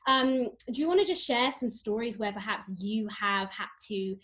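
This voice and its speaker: A woman's voice: a little high, animated, moderate pace, a bit bright. A woman interviewing a guest as podcast host, in a supportive, conversational style.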